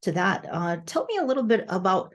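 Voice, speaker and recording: A woman's voice: medium pitch, very animated, fairly quick. A woman hosting a podcast in casual interview chat, effusive and rambling as she goes. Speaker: podcast host.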